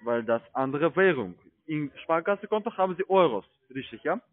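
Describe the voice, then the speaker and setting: Higher-pitched foreign-accented salesman on phone. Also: lively intonation, fairly quick. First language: not German. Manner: insistent, questioning. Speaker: telesales investment agent. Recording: narrow, dry phone line.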